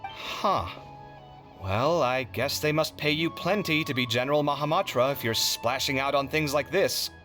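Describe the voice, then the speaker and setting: A man playing a proud, generous young man in an anime video game, casual and theatrically expressive. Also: mid-range voice, moderate pace, faint music behind, close to an everyday voice. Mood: concerned.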